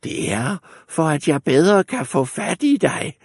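A man voicing a sly, hungry male wolf in a storybook reading, speaking low and hushed. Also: very animated, breathy edge, no room sound, clean studio sound, exaggerated voice. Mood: menacing.

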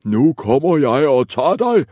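A man voicing a menacing troll who guards a bridge, shouting his threats. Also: mid-range voice, expressive, no echo, clean studio sound. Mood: bellowing.

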